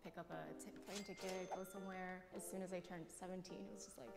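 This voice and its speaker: A woman's voice: on the low side, steady tone, fast, creaky, loud music behind the voice. A woman in her late twenties talking casually about herself in a group. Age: 20s. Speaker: design agency owner taking part in a group discussion. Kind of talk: casual conversation.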